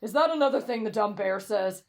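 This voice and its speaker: Woman acting a cocky, blunt young man, lowered voice. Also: expressive, fairly quick, close to an everyday voice. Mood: blunt, mocking. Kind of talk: anime fan roleplay audio drama.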